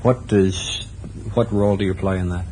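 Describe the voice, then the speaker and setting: An American man interviewing a guest for radio in a measured, formal broadcast style. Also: low voice, steady tone, warm tone, low-quality recording. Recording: faint hiss, 1970s radio tape.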